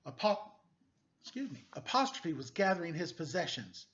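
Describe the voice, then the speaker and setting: A man's voice: a little high, very animated, normal speed, a bit bright, dull audio. A middle-aged man reading a story aloud for children in a playful, quizzing manner. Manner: playful, quizzing young listeners. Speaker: story-time teacher reading a picture book aloud.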